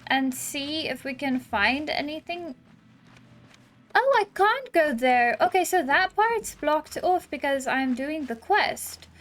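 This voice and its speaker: A woman's voice: sits high, expressive, slow pace, a bit bright. A woman giving casual, chatty let's-play commentary on a game, playful and given to exclaiming. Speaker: gaming video host.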